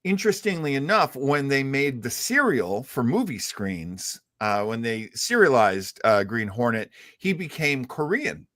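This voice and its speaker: A man's voice: mid-range voice, big pitch swings, a bit bright, no echo. Dry-sounding man explaining conversationally. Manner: halting, thoughtful explaining. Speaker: host of a retro radio show.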